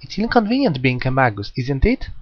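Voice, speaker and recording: A man voicing an eerily gentle young girl, an assassin spirit, politely and calmly, in an audiobook story. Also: medium pitch, brisk, slightly rough, no room sound, highs cut off. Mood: calmly menacing.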